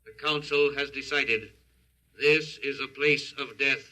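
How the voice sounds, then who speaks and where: An actor playing an ancient, coldly logical male alien in a vintage radio drama, speaking in formal, measured, stilted phrases on a noisy old recording. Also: medium pitch, steady tone, lightly acted.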